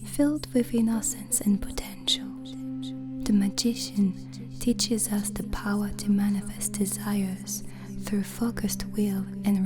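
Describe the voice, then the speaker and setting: Woman as a slow, whispering witch over music. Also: mid-range voice, close to an everyday voice. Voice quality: breathy, unvoiced whisper. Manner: slow, deliberate, soothing. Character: gentle, hospitable witch hosting a guest overnight. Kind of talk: ASMR roleplay, soft whispering.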